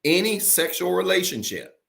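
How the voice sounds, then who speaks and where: A man with a raspy morning voice preaching a livestreamed sermon as a pastor. He repeats his key points and keeps urging viewers to comment. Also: high voice, sing-song, normal speed, a bit bright.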